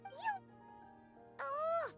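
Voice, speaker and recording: A woman voicing a tiny, excitable, childlike fairy companion in an anime video game, squeaky and full of eager questions. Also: extremely slow, full character voice. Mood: excited. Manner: eager, exclamatory, inquisitive. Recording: music behind the voice.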